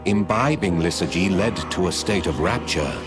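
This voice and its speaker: A grim, very low-voiced narrator of a dark fantasy tale, as a man performs him, telling the story in a measured, somber tone. Loud game music swells beneath his voice. The voice is deep and heavy. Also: lightly acted.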